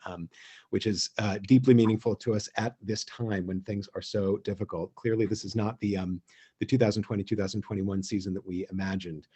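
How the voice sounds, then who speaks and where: A man hosting an online theatre gathering, speaking informally and haltingly, pausing often as he thinks aloud. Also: on the low side, husky. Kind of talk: informal speech and toast. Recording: dull, lo-fi video call audio.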